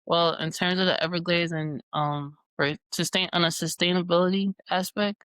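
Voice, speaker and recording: A man in his twenties, from Jamaica and New York, answering in a relaxed, easygoing way as an interview guest. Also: high-pitched, normal speed, clean tone, no echo. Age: 20s. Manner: relaxed, easygoing, with casual fillers. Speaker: guest scientist.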